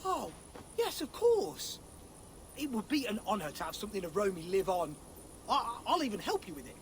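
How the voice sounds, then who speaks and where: Viking villager, game character, high voice, swooping pitch, stammering, earnest. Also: male voice, wildly swooping pitch, moderate pace, a little hiss or hum, full character voice. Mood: touched. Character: excitable soft-hearted male Viking villager.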